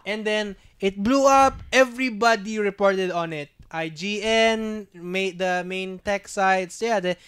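A man's voice: high voice, measured pace, few pauses, a bit bright, clear, resonant tone, no room sound. A young man co-hosting a casual podcast, joking and bantering into a handheld mic in a small padded room. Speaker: podcast co-host. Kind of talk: casual chat. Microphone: handheld mic with headphones.

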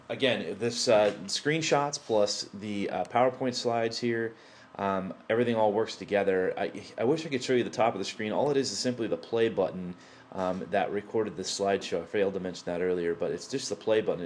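Man, lowish voice, casual screen-recording tutor, built-in laptop mic with faint hiss, lots of ums. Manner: rambling, many fillers and restarts. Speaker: tutorial presenter. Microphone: built-in laptop microphone.

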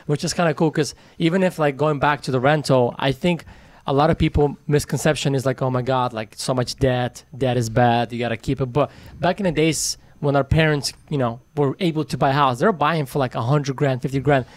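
A man in his thirties talking animatedly in a casual podcast chat about money, recorded on a boom mic in a small studio. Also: fairly quick, few pauses, no room sound. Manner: animated, talks with his hands, laughs often. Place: small studio with brick wall. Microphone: boom mic on arm.

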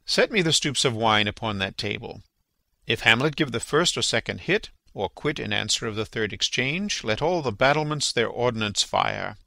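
A man playing a gravelly, scheming king. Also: mid-range voice, lively intonation, moderate pace, bright, forceful tone, no echo. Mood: regal, ceremonious. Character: King Claudius, regal scheming middle-aged villain. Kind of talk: dramatic Shakespeare audiobook reading.

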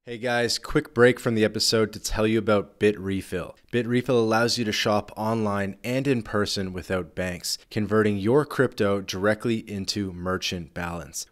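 Man reading a sponsor ad. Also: lowish voice. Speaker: podcast host reading an ad. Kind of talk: read sponsor message.

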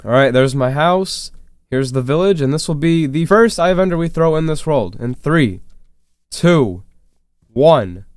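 A sick, slightly congested man giving excitable, rambling gameplay commentary with quick, frustrated outbursts. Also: a little high, clear, resonant tone, no room sound, clean studio sound. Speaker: gaming YouTuber. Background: faint game music.

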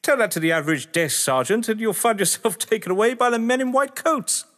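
An actor playing a haughty, condescending gentleman in an audio drama, with a patronising, clipped, theatrical delivery. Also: high voice, animated, brisk. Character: haughty, condescending gentleman crime schemer under threat. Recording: reverberant hall-like room.

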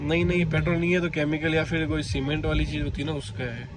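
A man's voice: fairly high pitch, level tone, quick pace. Chatty young man vlogging from a car. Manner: chatty, teasing, repeats phrases. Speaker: vlogger host. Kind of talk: casual road-trip vlog chat. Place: inside a moving car. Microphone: camera at arm's length. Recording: loud road and engine noise.

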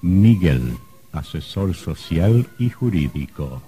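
Very dark, deep-voiced male narrator. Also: sing-song, takes it slowly, soft background music. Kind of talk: dramatic radio play narration.

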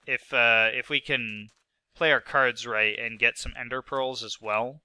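Man in his 20s, stuffy and sniffly, rambling gameplay talk, crisp voice, dry sound. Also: mid-range voice, steady tone, unhurried, sharp tone, no echo. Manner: rambling, self-mocking, lots of fillers. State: sick with a stuffy nose. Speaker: gaming video host. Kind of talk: casual gameplay commentary.

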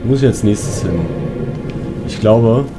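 Low-voiced man commentating a game. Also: a little slow. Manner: muttering to himself, crude swearing, exclamations. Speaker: let's player. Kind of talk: casual video game commentary. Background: video game music.

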